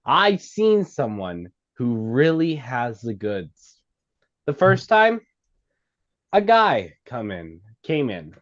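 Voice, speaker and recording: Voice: medium pitch, very animated, slow, lo-fi sound. An actor at a script table read playing a blunt, insistent comedy club worker, emphatic and stumbling over lines. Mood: earnest, forceful.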